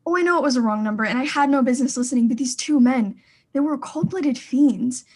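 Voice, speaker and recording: A woman's voice: high-pitched, a little fast. A woman acting in a radio thriller, playing a fretful, imperious well-to-do wife who is indignant, demanding and stammers in agitation. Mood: frantic.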